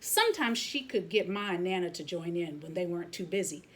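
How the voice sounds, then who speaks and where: A woman reading a children's picture book aloud as a teacher would, expressively, pausing to comment to young listeners. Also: on the low side, animated, a little hiss or hum.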